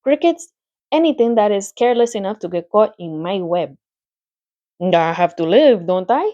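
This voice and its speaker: A woman's voice: medium pitch, animated, moderate pace, mellow, highs cut off, lightly acted. A woman reading a storybook aloud, voicing a clever, matter-of-fact female spider in a pleasant, thin voice with a put-on accent. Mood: relishing. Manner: put-on accent, relishing, lecturing.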